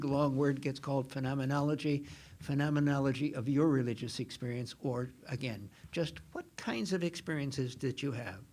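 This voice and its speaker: Soft-spoken older man, chatty priest. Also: fairly high pitch. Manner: chatty, self-deprecating, frequent restarts. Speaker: Jesuit priest lecturer. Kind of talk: informal spoken lecture. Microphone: microphone.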